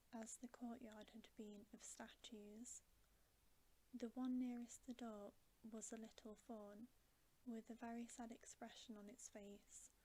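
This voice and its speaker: Slow, whispering woman reading a bedtime story. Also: a little high, warm tone, a little hiss or hum, low-quality recording. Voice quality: breathy whisper. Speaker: ASMR storyteller.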